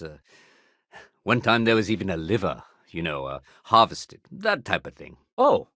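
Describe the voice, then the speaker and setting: Low-voiced, weary security sergeant. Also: male voice, fairly bright tone, dry recording, studio quality, full character voice. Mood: casual, friendly, then businesslike. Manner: measured, official, conversational. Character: weary, dutiful male security sergeant in space colony. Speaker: video game character. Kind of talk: video game dialogue acting.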